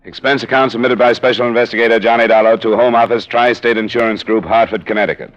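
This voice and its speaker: A man's voice: medium pitch, steady tone, quick pace, close to an everyday voice. An actor playing a hard-boiled insurance investigator who narrates his cases in a dry, matter-of-fact way, in a 1950s radio drama. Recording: muffled old radio broadcast with music.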